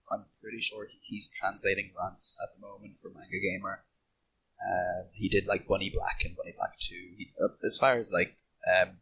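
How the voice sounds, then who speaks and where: A man's voice: sits low, bright, forceful tone, slightly rough, poor audio. A man talking casually as a panelist in a podcast discussion.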